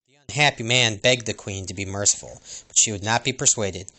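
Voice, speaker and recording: A man reading a children's storybook aloud as storyteller, with a dramatic, expressive delivery. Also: lowish voice, brisk, low-quality recording.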